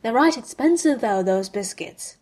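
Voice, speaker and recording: A woman's voice: on the high side, moderate pace, darkish tone, lightly acted. Woman voicing an earnest young wizard, mild, pleading, storybook reading.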